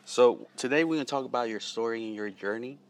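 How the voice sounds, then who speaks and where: A man's voice: medium pitch, fast. A man hosting a casual interview outdoors, friendly and loose, often repeating words as he starts questions. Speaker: interviewer, host.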